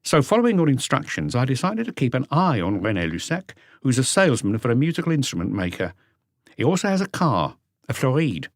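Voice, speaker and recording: A man's voice: medium pitch, wildly swooping pitch, fairly quick, no echo, studio quality, pitched above the performer's own voice. A man voicing an eager, dutiful police inspector who reports to his chief in earnest detail, as an audiobook character.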